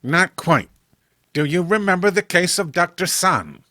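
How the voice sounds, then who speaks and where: A man's voice: sits high, lively intonation, a little slow, bright, forceful tone, no echo, studio quality, heavily acted. A man playing an authoritative veteran police commissioner, recounting a case in a horror comic reading. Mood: explanatory. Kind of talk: dramatic storytelling, horror comic voice acting.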